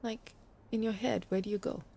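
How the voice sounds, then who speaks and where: An actress playing a concerned lover in an audio drama, speaking in a natural, conversational way that gently probes and needles. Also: female voice, mid-range voice, steady tone, moderate pace, creaky, husky. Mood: curious, teasing. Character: concerned, probing female lover of a withdrawn musician.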